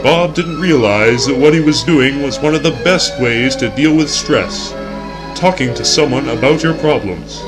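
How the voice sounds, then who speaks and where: A man's voice: mid-range voice, measured pace, music in the background. A young man reading scripted narration in the earnest, formal announcer style of a 1960s educational film. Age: young adult.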